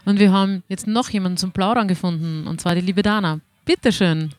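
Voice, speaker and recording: A woman's voice: medium pitch, fairly even intonation, brisk. A woman with an Austrian German accent, hosting a podcast outdoors at a music festival and introducing a guest in an upbeat, welcoming way. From Waldviertel, Austria. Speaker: podcast host.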